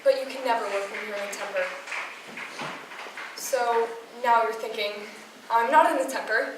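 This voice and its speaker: A woman's voice: on the high side, moderate pace, close to an everyday voice. A woman playing an insistent, accusatory nag who overthinks everything, in a stage monologue. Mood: needling, tense. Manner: insistent, needling. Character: needling, accusatory woman nagging a companion, obsessively overthinking. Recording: roomy, distant mic, clear noise.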